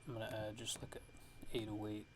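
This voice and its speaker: A man giving a casual ASMR music production tutorial, thinking aloud in a halting way as he works. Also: fairly low pitch, little pitch movement, background noise.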